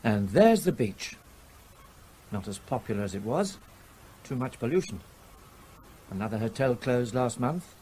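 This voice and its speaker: An actor playing a formal, firm and impatient health investigator in a radio drama. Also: male voice, medium pitch, expressive, a little slow, pauses often, mellow, slight background noise, lightly acted. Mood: impatient, insistent.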